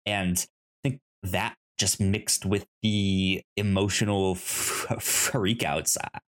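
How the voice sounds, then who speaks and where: A man co-hosting a casual discussion, speaking haltingly and stuttering on repeated sounds and words. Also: low voice, fairly even intonation. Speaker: podcast co-host. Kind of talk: casual chat, film discussion.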